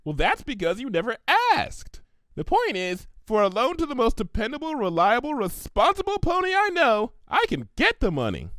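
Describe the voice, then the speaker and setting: A man voicing a bubbly, hyperactive young female cartoon pony with chirpy, excitable emphasis. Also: very high voice, normal speed, no room sound, pitched above the performer's own voice, full character voice. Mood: bubbly, eager.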